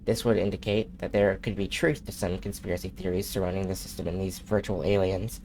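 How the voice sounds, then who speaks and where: A man streaming a video game who reads in-game messages aloud in a steady, unhurried way, with a nasal voice and occasional slips. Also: low voice, a little hiss or hum. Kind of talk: reading in-game messages aloud during a live gameplay stream.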